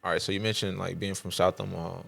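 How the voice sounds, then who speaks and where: A man's voice: deep voice, flat delivery, fast talker, vocal fry, a bit bright. A man co-hosting a podcast, asking questions in a laid-back, slangy way, often tacking on "you know what I mean". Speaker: podcast co-host. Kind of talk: casual podcast interviewing. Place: podcast studio.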